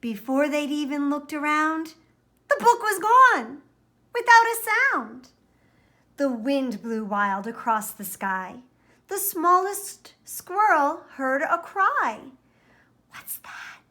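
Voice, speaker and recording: A woman's voice: high-pitched, big pitch swings, slow pace, pushed and bright. A woman reading a children's picture book aloud expressively, with dramatic, careful emphasis. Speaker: storybook reader.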